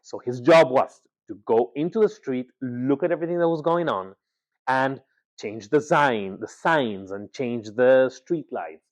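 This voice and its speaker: A man from Ecuador giving a conversational presentation as a guest speaker, often pausing with filler words and repeating himself. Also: mid-range voice. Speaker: guest speaker, agency founder. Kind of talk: presentation talk. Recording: slightly dull, lo-fi sound.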